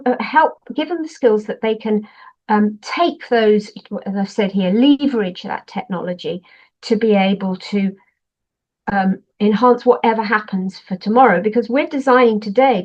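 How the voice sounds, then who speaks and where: An older woman, a professor presenting at a webinar in an explanatory way, stressing points through contrast. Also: a little high. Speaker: university professor, webinar speaker. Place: online webinar. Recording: dull, lo-fi webinar audio.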